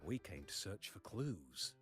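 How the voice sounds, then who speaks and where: A tired, dutiful gang agent, low-pitched and flat, giving the facts without fuss. Game music sits quietly under the lines. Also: male voice, unhurried, full character voice. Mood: matter-of-fact. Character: weary adult male gang agent, dutiful and resigned. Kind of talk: video game dialogue.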